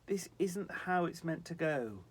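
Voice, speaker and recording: A man's voice: on the high side, lively intonation, a little slow, slightly rough, voice pushed up. A man voicing a young male snow angel, fretful, earnest and a little pompous. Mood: anxious.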